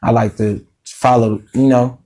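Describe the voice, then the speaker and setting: A man with a raspy voice, a musician guest talking casually and slangily in a podcast interview, laughing often. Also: medium pitch, steady tone, unhurried, warm tone.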